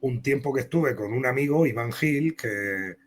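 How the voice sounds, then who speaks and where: A man with an accent from Spain talks as an interview guest at a middling pitch. He tells anecdotes in a rambling, conversational style, acting out bits of dialogue. There is clear background noise with a hum. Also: moderate pace. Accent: Spanish (Spain). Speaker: comic book author and interview guest.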